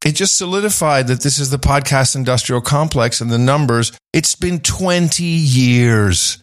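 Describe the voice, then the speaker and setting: A man hosting a podcast in casual chat, rambling and swinging his pitch up and down as he talks. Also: normal speed, dry recording, clean studio sound. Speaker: podcast host.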